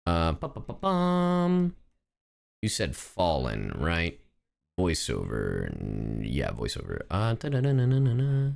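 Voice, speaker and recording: A man's voice: sits low, wildly swooping pitch, takes it slowly, creaky, a little mellow. A man doing casual live reaction commentary as a streamer, informal and often muttering as he thinks aloud.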